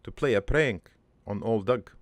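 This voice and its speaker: A man playing a crude but wistful old dead father in a cartoon, rambling through his life story in a halting, gravelly, improvised monologue. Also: lowish voice, dry recording, lightly acted. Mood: rueful, wry. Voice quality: gravelly, creaky.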